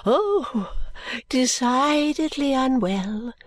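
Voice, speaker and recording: A woman voicing a nervous, genteel, eccentric elderly lady who speaks slowly and stately and drops into whispers. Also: expressive, no echo, clean studio sound, full character voice. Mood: fluttery. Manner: high, slow, stately and confiding, drops to whispers.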